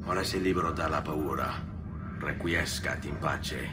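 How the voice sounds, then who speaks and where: An actor voicing a determined young Italian assassin in a video game, with an Italian accent and a formal, resolute way of speaking. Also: male voice, a bass voice, normal speed, heavy background noise. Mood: solemn. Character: determined young Italian male assassin avenging his family. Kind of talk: video game cutscene acting.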